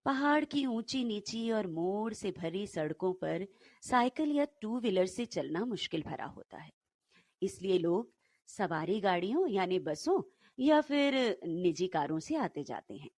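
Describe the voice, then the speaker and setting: A woman narrating a short story in an unhurried, expressive, dramatic storytelling manner. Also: medium pitch, no echo.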